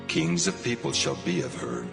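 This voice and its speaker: A man's voice: medium pitch, wildly swooping pitch, moderate pace, vocal fry, full character voice. A man voicing God as a solemn, commanding deity in a declamatory dramatized scripture reading. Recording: long cavernous reverb, loud music behind.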